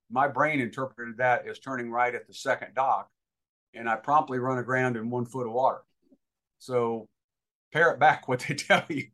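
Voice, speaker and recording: A man's voice: medium pitch, sharp tone. A man giving informal instruction as a guest expert, talking in an emphatic, advisory way.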